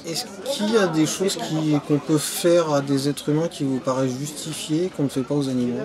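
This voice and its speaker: A man's voice: fairly high pitch, moderate pace, low-quality recording. Casual man interviewing over crowd noise. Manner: casual, probing questions. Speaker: street interviewer. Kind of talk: street interview questions. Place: outdoors at a summer riverside event. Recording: outdoor event with crowd noise. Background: crowd chatter.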